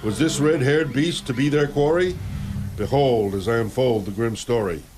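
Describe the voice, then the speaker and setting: A man's voice: mid-range voice, moderate pace, a little mellow, slight background noise, close to an everyday voice. A man playing a romantic, grave bard who tells tragic tales in theatrical rhyming verse for a radio drama. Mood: suspenseful. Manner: poetic, theatrical diction. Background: faint musical accompaniment.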